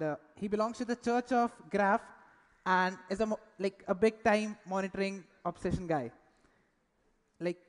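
Woman hosting in a reverberant hall. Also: medium pitch. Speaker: event host. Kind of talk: introducing a speaker. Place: large indoor conference hall, on stage.

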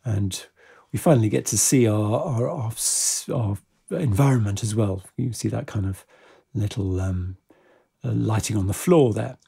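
A man giving a casual step-by-step software tutorial in a measured, explanatory way. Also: fairly low pitch, creaky, husky.

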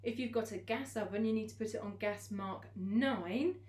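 A young woman teacher in her home kitchen, gently explaining each cooking step to children as she works. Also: mid-range voice, moderate pace.

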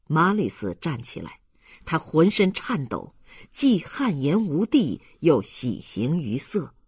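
Husky male audiobook narrator. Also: a little high, huge pitch swings, takes it slowly, dry recording, clean studio sound. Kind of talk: dramatic scripted narration.

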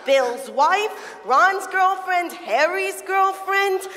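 A woman's voice: very high voice, animated, very slow, exaggerated voice. A woman playing a harried, bossy mother of a big household in a comedy staged reading, frazzled but affectionate. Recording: noisy hall with long reverb.

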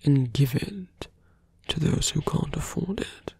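A man playing a seductive, embittered slum-born villain in a fantasy ASMR roleplay, speaking slowly and intimately in a breathy, gravelly half-whisper. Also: on the high side, darkish tone, no room sound, full character voice. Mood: bitter, intimate. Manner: slow, intimate, half-whispered, deliberate pauses.

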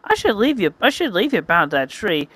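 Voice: medium pitch, big pitch swings, a little fast, fairly bright tone, dry recording, voice pushed up. A storyteller voicing a proud, scorned warrior woman who is fierce and commanding in an adventure tale. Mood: stern, commanding.

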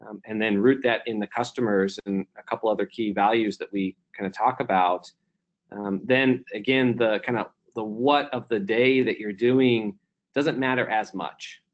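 A man's voice: on the low side, fairly even intonation, normal speed, muffled recording. A man, a company chief executive, answering questions on a conference call in a reflective way, with many fillers and restarts. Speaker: company CEO, keynote guest.

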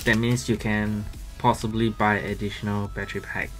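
A man gives casual, halting unboxing commentary as a gadget reviewer. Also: fairly low pitch, level tone. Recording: music playing behind the voice.

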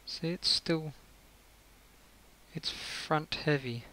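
Slow-paced, bright-voiced man thinking aloud. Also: a little high, poor audio. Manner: muttering to himself, thinking aloud. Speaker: gaming video creator. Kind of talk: casual gameplay commentary while building. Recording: faint hiss and clicking.

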